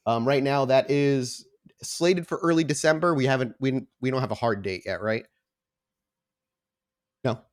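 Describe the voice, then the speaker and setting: A man's voice: medium pitch, moderate pace, pauses often. A man speaking as the host and storyteller of a tabletop roleplay podcast. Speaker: host and game storyteller of an actual play podcast. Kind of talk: casual announcements, then slow scene-setting narration.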